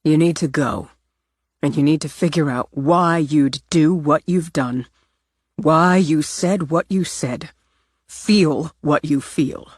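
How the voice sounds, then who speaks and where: Woman doing a calm, firm grandmother. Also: low voice, takes it slowly, breathy edge, no echo, clean studio sound, exaggerated voice. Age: older. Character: older grandmother, firm, calm, loving but stern.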